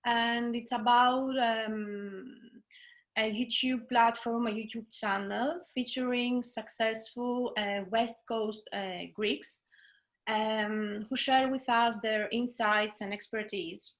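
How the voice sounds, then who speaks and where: A young adult woman hosts an interview over a video call, in a voice a little higher than average. She speaks hesitantly, with many fillers between her phrases. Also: steady tone, slow, fairly bright tone. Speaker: host, consulate officer.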